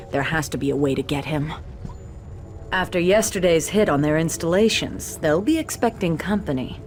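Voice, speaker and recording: Lady as calm serious rebel commander in a game, slightly low voice, faint music under it. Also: lightly acted. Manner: serious, deliberate. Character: level-headed female resistance commander, cautious and caring. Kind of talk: video game cutscene acting.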